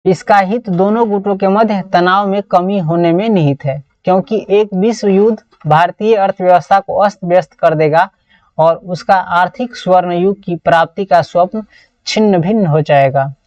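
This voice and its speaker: A woman's voice: on the low side, moderate pace, darkish tone, distant mic. A woman with a Bihari accent teaching an exam-prep lesson, reading out model answers in a didactic way and repeating phrases. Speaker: teacher of political science. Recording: roomy echo, dull lo-fi sound.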